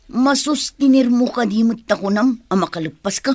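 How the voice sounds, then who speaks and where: A woman's voice: on the high side, expressive, moderate pace, darkish tone, dry recording. A woman playing a fretful, disapproving village mother in an Ilocano radio drama. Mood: worried, disapproving.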